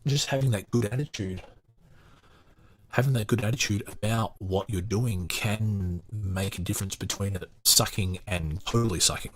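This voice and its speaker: A man's voice: lowish voice, moderate pace. A man hosting a podcast, reading a book aloud and giving casual commentary on it. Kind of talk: audiobook narration and casual commentary.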